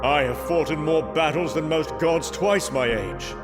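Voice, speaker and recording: A man's voice: a little high, a little slow, music in the background, lightly acted. An actor playing a proud, brash young male Norse god of thunder, grandiose and commanding, in a comic book audio drama. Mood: grand, brooding.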